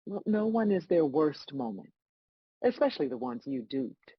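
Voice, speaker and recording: A woman playing God as a stern, composed judge, delivering measured, scolding lines in a dramatic script read. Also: sits low, very animated, husky, dull audio, exaggerated voice. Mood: firm, measured.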